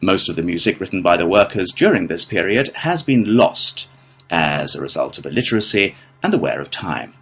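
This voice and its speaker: A British man delivering a scripted academic lecture in a measured, formal manner. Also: deep voice, sing-song, fairly bright tone, breathy edge. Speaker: lecturer in a listening test.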